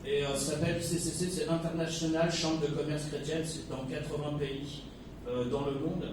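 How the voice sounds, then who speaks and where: A man's voice: on the high side, little pitch movement, moderate pace. A man from Kabylie in Algeria giving an informal sermon to a congregation, chatty, with joking asides and many hesitations. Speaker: guest preacher. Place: church hall. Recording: echoey hall from far off, noisy.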